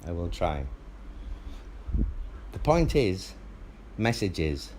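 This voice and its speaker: A father on a phone call, arguing in a loud, insistent, lecturing way with the phone held close to his mouth. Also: low voice, big pitch swings, very slow, slight background noise. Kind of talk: heated phone argument.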